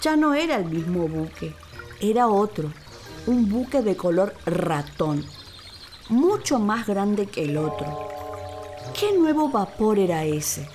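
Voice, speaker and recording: A woman narrates a children's story as radio theatre. Her delivery is deliberate and theatrical, on the slow side with very lively intonation. Soft music runs underneath. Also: mid-range voice. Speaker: actress, storyteller.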